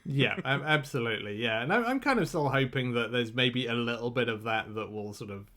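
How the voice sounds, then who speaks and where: A man co-hosting a podcast in casual chat, relaxed and wry, often hesitating and restarting. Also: mid-range voice, fairly quick. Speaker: podcast co-host.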